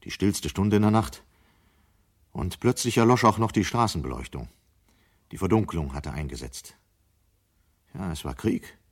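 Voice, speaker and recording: A man with a very low voice narrates a vintage German radio play. He tells the story in measured, sober, scripted sentences. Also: slightly rough.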